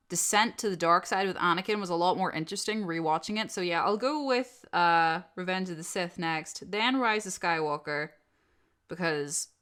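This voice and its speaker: A woman host answering questions in a casual, opinionated and animated chat, swearing freely. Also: on the low side, clean tone. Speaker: host of an audio channel.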